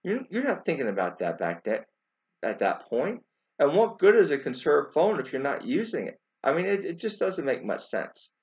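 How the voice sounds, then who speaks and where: A man in his forties giving informal commentary to camera, haltingly, repeating words and posing emphatic questions. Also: a little high, big pitch swings, darkish tone. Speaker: video commentator. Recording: dull lo-fi webcam sound.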